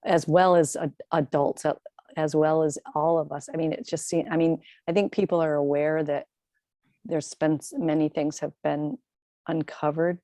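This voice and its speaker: A woman's voice: low voice, little pitch movement, normal speed, dark tone, no room sound, studio quality. A woman in an adult faith class, asking a long question in a rambling way and searching for her words. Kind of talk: group discussion, asking a question.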